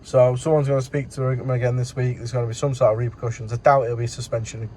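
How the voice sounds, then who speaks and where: A man's voice: medium pitch, warm tone, poor audio. Man chatting casually over background noise. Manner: colloquial, rambling. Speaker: courier driver vlogger. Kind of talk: casual vlog chat.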